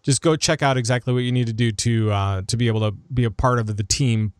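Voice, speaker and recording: A man hosting a casual gaming podcast, chatting in an animated, jokey way and often interrupting himself. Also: medium pitch, fast talker, clean tone, dry recording, studio quality. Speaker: podcast host.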